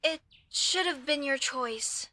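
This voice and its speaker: Woman acting as young elf mage, female, high-pitched, bright, earnest, apologetic, hesitant asides, comedy audio roleplay. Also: takes it slowly, no echo, heavily acted. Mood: apologetic, gentle. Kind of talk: comedy audio roleplay, speaking softly to the listener.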